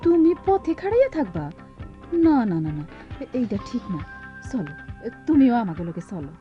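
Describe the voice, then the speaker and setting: A woman's voice: a little high, sing-song, moderate pace. A woman playing a hurt, abandoned village wife and mother in a radio drama, plaintive and insistent, with a rural Bangladeshi Bengali dialect. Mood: anxious. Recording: dull lo-fi radio recording with music.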